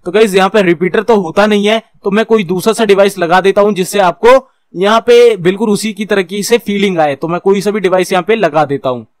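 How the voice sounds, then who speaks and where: A man's voice: high voice, no echo. A man with an Indian accent giving an informal tutorial as a course instructor, explaining step by step, checking in often and mixing in English technical terms. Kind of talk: informal screen-share tutorial.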